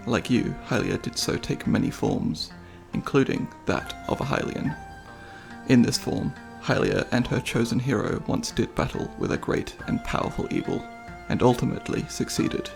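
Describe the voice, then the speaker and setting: Man acting a creaky, solemn mystical monk. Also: mid-range voice. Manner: measured, formal, reverent. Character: solemn mystical Sheikah monk elder, devout storyteller. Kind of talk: ASMR fantasy roleplay.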